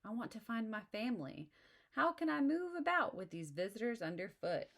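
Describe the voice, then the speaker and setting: A woman's voice: fairly high pitch, sing-song, moderate pace, fairly bright tone, pitched above the performer's own voice, heavily acted. A woman reading as a wistful young musk ox. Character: young male musk ox longing for family.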